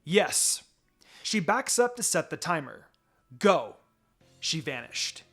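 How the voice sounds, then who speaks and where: A man's voice: a little high, sing-song, normal speed, creaky, fairly bright tone. A man reading a scripted first-person fan fiction story as its narrator. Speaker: story reader. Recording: music behind the voice.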